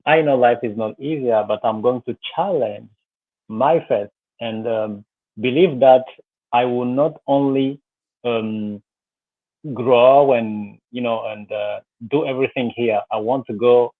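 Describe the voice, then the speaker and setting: A man's voice: medium pitch, a little slow, no room sound. Earnest guest in his thirties from Rwanda, man, dry thin phone-like sound, restarts a lot. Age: 30s. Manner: earnest, many fillers and restarts, speaks of faith. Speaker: guest, business coach. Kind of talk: live interview, personal story. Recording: tinny phone-like line, dry.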